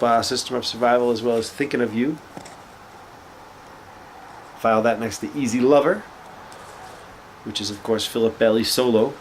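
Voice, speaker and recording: A man's voice: mid-range voice, slight background noise, low-quality recording. A man with a cold and a slightly nasal voice, casually chatting as he shows off records from his collection. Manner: relaxed, chatty, with frequent fillers. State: congested with a cold, sniffling. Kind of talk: casual show-and-tell chat.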